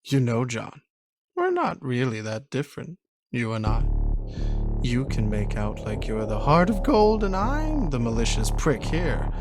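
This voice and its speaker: An actor playing a smug, mocking psychopath who talks in a theatrical, condescending, taunting way in a video game cutscene. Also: male voice, medium pitch, huge pitch swings, unhurried, music in the background. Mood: taunting, menacing. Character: smug, mocking male psychopath toying with a detective.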